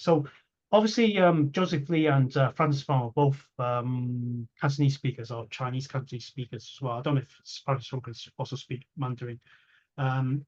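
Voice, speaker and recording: A man interviewing casually, with hesitant, rambling and repetitive phrasing. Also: medium pitch. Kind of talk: casual chat. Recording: dull lo-fi audio.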